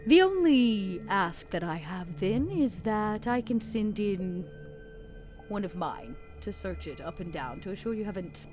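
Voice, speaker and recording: A woman voicing a calculating vampire envoy in a tabletop game, speaking in a measured, formal, sly way with polite menace. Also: medium pitch, very animated, over music. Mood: assured.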